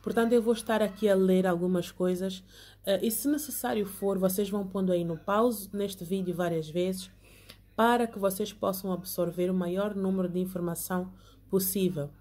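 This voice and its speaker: An earnest young woman leads an online Bible class. Her voice is mellow and soft-edged, sitting at an ordinary middle pitch. She reads from her notes and keeps drawing her listeners in by asking them to say amen. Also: steady tone, moderate pace. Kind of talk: online Bible lesson, partly read from notes.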